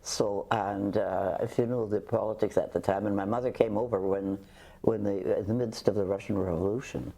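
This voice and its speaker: Older woman with a husky, mellow voice. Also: extremely low pitch, fairly even intonation. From Canada. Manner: halting, with frequent restarts and hesitations. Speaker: interview guest. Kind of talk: reflective conversational storytelling.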